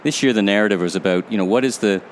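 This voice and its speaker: A man's voice: on the low side, very fast, a little hiss or hum. A man hosting a retail podcast, reading a scripted intro and then interviewing a guest, with some hesitant restarts in his questions. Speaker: podcast host. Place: open-roof podcast booth at a trade show.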